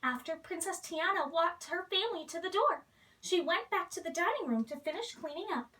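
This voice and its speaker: A woman reads a storybook aloud for children. Her voice is extremely high and bright, with lively swings in pitch. She sounds set back from the microphone. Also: moderate pace, far from the mic. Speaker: children's story reader appearing as a princess.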